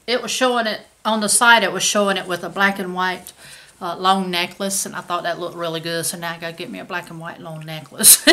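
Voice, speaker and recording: A woman's voice: on the low side, steady tone, fairly quick, sharp tone, a little hiss or hum. A woman, chatting casually about her shopping haul to a camera at home. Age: 50s. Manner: chatty, folksy, says y'all. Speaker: haul vlogger. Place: home kitchen indoors.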